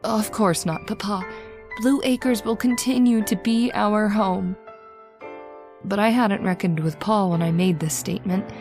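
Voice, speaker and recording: An actress playing a devoted young married daughter in an old-time radio horror drama, with an earnest, melodramatic delivery. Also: female voice, medium pitch, a little slow, darkish tone, faint music behind, close to an everyday voice. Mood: tender, grateful.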